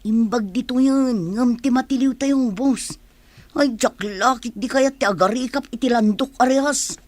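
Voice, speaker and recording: A woman's voice: on the high side, moderate pace, darkish tone, no echo, natural voice. A woman with an Ilocano accent playing a wary robber henchman in a radio drama.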